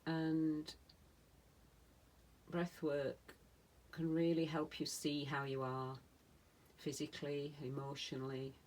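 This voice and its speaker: A woman meditation teacher leading a guided relaxation in a soothing manner, speaking in short phrases with long pauses. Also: sits low, little pitch movement, a little mellow. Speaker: yoga nidra meditation teacher.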